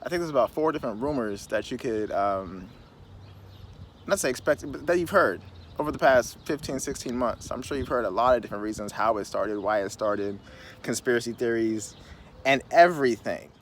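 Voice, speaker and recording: A man in his thirties talking casually outdoors on a city curb, rambling and conversational with animated asides. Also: mid-range voice, moderate pace. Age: 30s. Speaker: vlogger. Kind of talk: casual outdoor vlog monologue. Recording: faint street noise, wind on mic. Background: wind and passing traffic.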